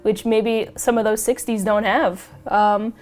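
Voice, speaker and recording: A woman hosting a casual podcast chat, a little raspy as she gets over a cold, chatty and excitable and quick to laugh. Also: a little high, faint music behind. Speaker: podcast host.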